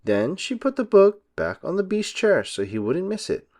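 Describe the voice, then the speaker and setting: A man giving an expressive, deliberate storybook narration for children. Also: high voice. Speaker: read-aloud narrator for children.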